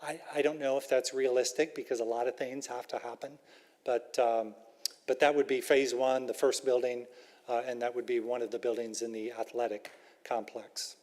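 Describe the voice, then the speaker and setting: A man speaks for a school at a community workshop and answers residents' questions with careful, hedged replies. His voice sits at an ordinary pitch. A long reverb from the meeting hall trails behind each phrase. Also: level tone, moderate pace, a little mellow. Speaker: school representative.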